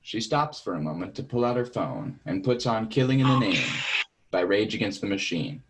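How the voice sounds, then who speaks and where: Male stage directions reader, slightly low pitch, scripted narration for a play reading. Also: expressive, normal speed, noisy background.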